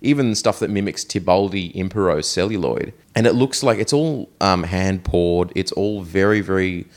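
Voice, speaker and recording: Deep-voiced man chatting as a hobbyist co-host. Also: moderate pace. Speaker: podcast co-host. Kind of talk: casual hobbyist discussion.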